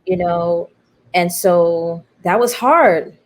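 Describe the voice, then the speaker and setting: A woman with a slightly low voice talks candidly in an interview about her creative work. She is reflective and expressive, slipping into quoted remarks as she goes. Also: drawn-out words. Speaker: playwright being interviewed.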